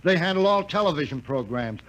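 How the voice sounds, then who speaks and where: An actor playing a brusque, dismissive company boss in a 1950s radio drama. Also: male voice, fairly high pitch, expressive, fairly quick, a bit bright, highs cut off.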